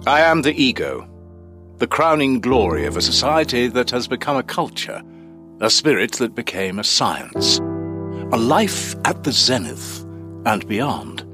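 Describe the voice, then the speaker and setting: A man's voice: mid-range voice, sing-song, unhurried, music in the background. A man voicing the ego, a grandiose, self-important voice within the mind, pompous and theatrical. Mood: proud, grandiose. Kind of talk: scripted audio drama monologue.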